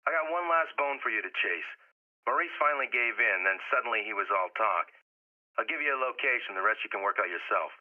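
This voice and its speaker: A tinny phone voice, that of a shady fixer, lowish and wry. He talks business in a casual, sardonic way, as in a video game. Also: expressive, a little fast. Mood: wry, businesslike. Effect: phone filter. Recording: tinny narrow phone line.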